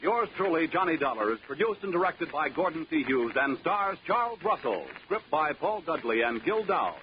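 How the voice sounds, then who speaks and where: A man's voice: on the high side, sing-song, normal speed, heavy background noise. A man reading scripted credits as a radio announcer in 1940s style. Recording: muffled 1940s radio recording.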